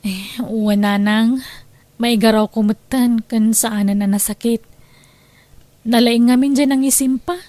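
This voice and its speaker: A woman acting as a young injured thief in an Ilocano radio drama. The voice is high and plaintive, full of remorse and pleading, in a dry recording. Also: steady tone, measured pace, pauses often, dark tone, no room sound, lightly acted. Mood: subdued regret. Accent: Filipino, Ilocano.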